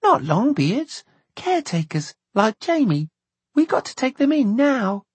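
A narrator voicing an excitable, proud young girl who speaks in childish, exclamatory dialect for a storybook. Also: mid-range voice, measured pace, husky, no echo, pitched above the performer's own voice. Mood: matter-of-fact.

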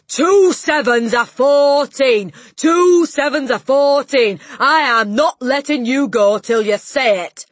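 A monstrous storybook headmistress, bellows and barks with furious contempt. Her husky voice swings widely as she stretches out each scornful word. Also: low voice, lively intonation, fairly bright tone, no echo, full character voice. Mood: furious, bellowing. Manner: bellowing, snorting, barking insults in drawn-out emphatic bursts. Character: giant tyrannical bellowing headmistress who loathes children. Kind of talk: audiobook character voice.

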